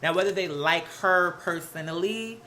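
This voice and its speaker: Bright, sassy American live streamer. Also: medium pitch, normal speed, slight background noise. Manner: sassy, slangy, peppered with "girl" and "honey"; animated, rambling, jumps between asides. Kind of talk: casual live chat to viewers, gossipy commentary.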